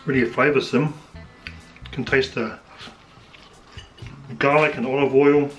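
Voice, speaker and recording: Hoarse middle-aged man, New Zealand accent, relaxed home-kitchen chatter, mid pitch, faint music underneath. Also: slow pace, low-quality recording. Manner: relaxed, chatty, thinking aloud with fillers. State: slightly hoarse, losing his voice. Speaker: home cook vlogger. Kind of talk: casual cooking commentary.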